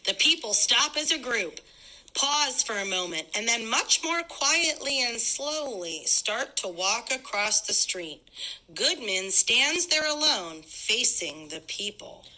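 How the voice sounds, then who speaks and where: A woman's voice: a little high, big pitch swings, slow, pushed and bright, low-quality recording. A woman reading stage directions aloud as the narrator of a dramatic script.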